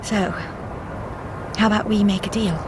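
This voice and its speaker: An actress playing a determined, anxious young British scientist with an English accent, earnest in manner, in a radio drama. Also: female voice, medium pitch, level tone, moderate pace, lightly acted. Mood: pleading. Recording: radio drama with vehicle noise behind.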